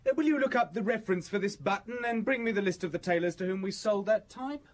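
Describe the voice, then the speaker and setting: Actor as high-voiced, fussy office manager. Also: male voice, quick pace, lightly acted. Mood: helpful, formal. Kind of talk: radio drama acting.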